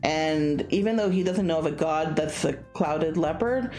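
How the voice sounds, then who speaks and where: A woman's voice: low voice, moderate pace, dark tone. A woman reading a visual novel aloud in a casual, informal let's-play style. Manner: informal, stumbles over unfamiliar names. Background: music.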